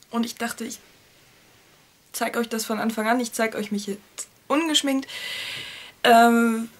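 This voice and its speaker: Chatty young woman with a cold. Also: a little high. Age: young adult. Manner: chatty, self-deprecating, laughs often. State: has a cold. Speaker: vlogger. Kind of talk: casual make-up vlog chat. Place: small cluttered bedroom, indoors. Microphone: webcam at desk.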